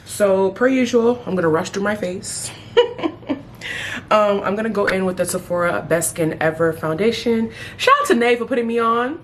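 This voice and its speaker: A woman in her thirties chatting casually as a beauty vlogger while doing her makeup, playful, with sudden high exclamations. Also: moderate pace, slight background noise. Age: 30s. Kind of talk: casual get-ready-with-me chat.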